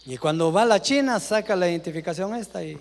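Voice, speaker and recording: A man, a pastor preaching a dramatic sermon that swings from loud calls to hushed asides. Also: high voice, fast. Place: church. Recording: live church sermon with long reverb.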